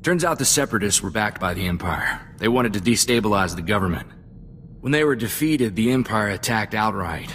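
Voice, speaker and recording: A man's voice: on the low side, lively intonation, a little fast, fairly bright tone, a little hiss or hum. A man playing an earnest, loyal young sidekick in a space-adventure game, talking in a plainspoken, folksy, reflective way. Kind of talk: video game cutscene dialogue.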